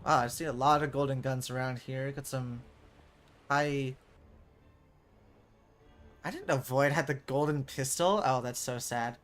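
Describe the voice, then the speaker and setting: An eighteen-year-old man casting a competitive VR shooter match alone, rambling with lots of fillers between rounds and jumping loud and high when plays happen. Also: unhurried, pauses often. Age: young adult. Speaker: esports caster. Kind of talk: solo play-by-play game commentary with viewer chat. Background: game music.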